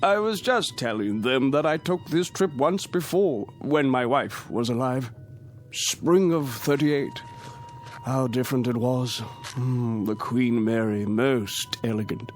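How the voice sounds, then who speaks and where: A courtly, slightly fussy British major played by a male actor, clipped and old-fashioned, in a medium-pitched voice. Music sits faintly behind this radio drama performance. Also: slow pace, close to an everyday voice. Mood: genteel, hearty. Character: genteel male military major, older widower, courteous and fussy.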